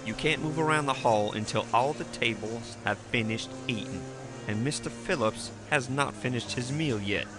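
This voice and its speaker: An actor playing a put-upon, irritable asylum orderly in an audio drama, gruff and exasperated. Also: male voice, medium pitch, normal speed, loud music behind the voice, lightly acted. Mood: stern.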